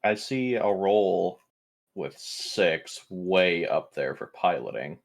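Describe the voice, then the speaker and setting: Male tabletop player, low register, casual gamer chat, blunt, wry and sweary. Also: slow pace, vocal fry.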